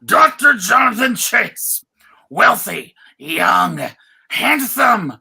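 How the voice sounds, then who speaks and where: A man's voice: high voice, very animated, extremely slow, vocal fry, sharp tone, breathy edge, heavily acted. A man playing a grandiose, grave 1980s TV announcer with bombastic, theatrical emphasis. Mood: grandiose, dramatic.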